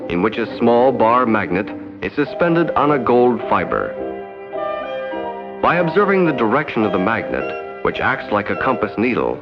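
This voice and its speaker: An American man narrating a 1960s educational film in a formal, measured, no-nonsense way. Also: mid-range voice. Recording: dull 1960s 16mm film soundtrack.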